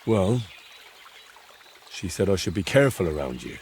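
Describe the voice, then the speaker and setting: A young medieval game hero with a lowish, lively voice. He is plain-spoken, curious and frank, with faint outdoor ambience around him. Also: normal speed, a little hiss or hum. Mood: sheepish. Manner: hesitant, frank, good-natured teasing. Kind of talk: dramatic dialogue in a cinematic historical game.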